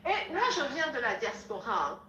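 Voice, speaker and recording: Fervent high-voiced activist woman, echoey. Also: big pitch swings, normal speed, sharp tone. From Congo (DRC). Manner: fervent, exhorting, repeats herself. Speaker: political activist. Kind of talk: impassioned voice message to a group.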